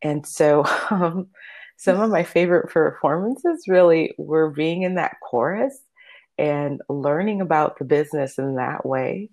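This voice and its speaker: Woman, thirties, native Spanish speaker, low pitch, creaky voice, informal conversational storytelling, interview guest. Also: measured pace, darkish tone. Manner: chatty, informal. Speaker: interview guest, opera soprano soloist.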